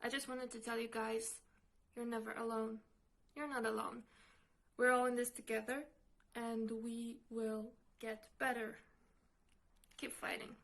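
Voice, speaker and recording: A woman from Poland giving a short, gentle message of encouragement. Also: a little high, flat delivery, measured pace, vocal fry, long pauses.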